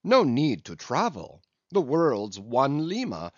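Guy voicing a jumpy excitable gent, bouncy pitch, muffled recording. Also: slow, no room sound, heavily acted. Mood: impetuous. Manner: impetuous, excitable. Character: excitable Spanish gentleman, man. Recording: dull, dry lo-fi recording.

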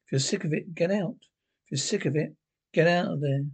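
A snarling, defensive little ex-jockey, played by a man in a one-man reading. He is bitter and boastful, and his voice sits fairly high. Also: a little fast.

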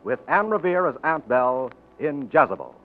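A man's voice: medium pitch, big pitch swings, normal speed, heavy background noise. A man announcing a 1940s radio program in a formal, grand, emphatic style. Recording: tinny vintage radio, loud orchestral music.

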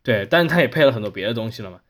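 A man's voice: mid-range voice, expressive, very fast. A man speaking as a podcast host in casual conversation. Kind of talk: casual chat.

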